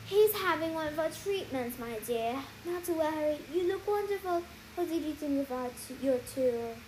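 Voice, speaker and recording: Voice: high-pitched, animated, normal speed, darkish tone, slight background noise, rough recording. A young reader voicing a scheming sorceress who talks sweetly but cruelly, scolding and condescending. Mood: sugary. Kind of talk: story reading aloud.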